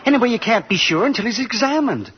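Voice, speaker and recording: Fussy high-voiced store manager, very animated. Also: male voice, fast talker. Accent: American. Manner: fussy, stammering, excitable. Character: flustered, eager-to-please male store manager. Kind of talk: 1940s radio acting. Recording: dull, noisy 1940s radio recording.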